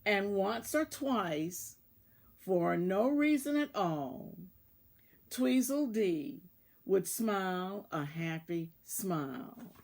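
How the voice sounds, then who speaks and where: A woman reading a children's storybook aloud, deliberately and in short phrases, as a volunteer reader. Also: medium pitch, expressive, creaky, a little mellow. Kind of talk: read-aloud children's storybook narration with asides about the pictures.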